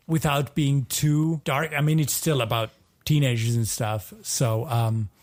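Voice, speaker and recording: A man's voice: mid-range voice, a little mellow. A man co-hosting a podcast, talking in a casual, conversational way. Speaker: podcast co-host. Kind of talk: casual podcast chat, recommending TV shows.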